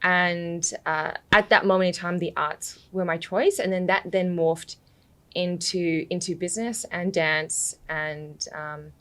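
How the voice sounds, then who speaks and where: Chatty Australian woman, slightly low voice. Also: steady tone, unhurried. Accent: Australian, slightly mixed with British. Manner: chatty, laughs often, many "you know" fillers. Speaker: podcast guest. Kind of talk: casual interview chat.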